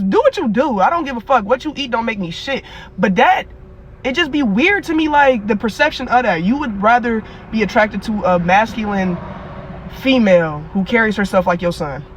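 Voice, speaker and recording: A woman with a deeper voice than most women, ranting bluntly and casually with a lot of profanity. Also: a little fast, a little hiss or hum. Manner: blunt, animated rant with profanity. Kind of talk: casual rant.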